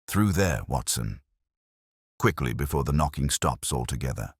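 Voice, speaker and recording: A man voicing a cool, precise detective in an audiobook, calm, steady and authoritative. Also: extremely low pitch, moderate pace, slightly rough, no room sound, studio quality, full character voice. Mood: quietly urgent.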